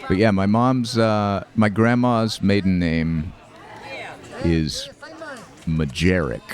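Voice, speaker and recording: A man hosting a show, talking casually and reflectively over home movies, with fillers, restarts and soft laughs. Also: low-pitched, slow pace, crowd noise. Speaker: host.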